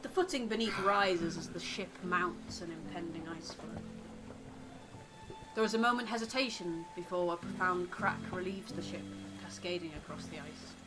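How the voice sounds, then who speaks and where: A woman's voice: on the low side, normal speed, poor audio. Woman narrating a story over music. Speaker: co-host of a voiced game playthrough.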